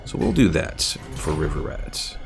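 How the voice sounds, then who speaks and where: A man's voice: a bass voice, wildly swooping pitch, measured pace, creaky, over music. A man casually commentating his strategy game on a live stream, thinking aloud, reading out stats and chat comments with plenty of fillers.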